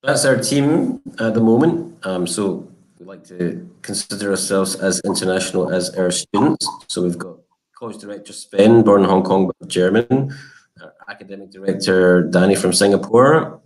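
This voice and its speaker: Man, from Scotland, deep voice, college marketing director, live online presentation, relaxed, informative, frequent fillers. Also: normal speed, rough recording.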